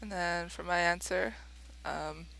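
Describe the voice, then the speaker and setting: A woman's voice: on the low side, fairly even intonation, a little slow, vocal fry, pushed and bright, slight background noise, low-quality recording. A woman from Mexico, a native Spanish speaker, reading viewer questions as co-host with brief laughing asides.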